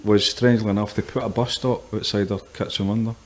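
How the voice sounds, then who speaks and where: A man's voice: on the low side, steady tone, quick pace. A man co-hosting a podcast, thoughtful and storytelling in a casual group discussion. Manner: storytelling, thoughtful, laughs easily. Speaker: podcast co-host.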